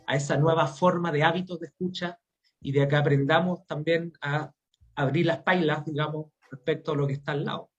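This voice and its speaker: A man's voice: a little high, fairly even intonation, a little fast, soft background music. A man with a Chilean accent explaining as an interview guest, in a dull, lo-fi recording. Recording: dull, lo-fi sound.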